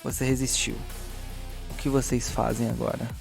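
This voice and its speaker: A man's voice: mid-range voice, level tone, normal speed, vocal fry. Brazilian man game-mastering over music. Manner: dramatic descriptions mixed with casual slangy asides. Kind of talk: narrating a horror tabletop role-playing game. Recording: music playing behind the voice.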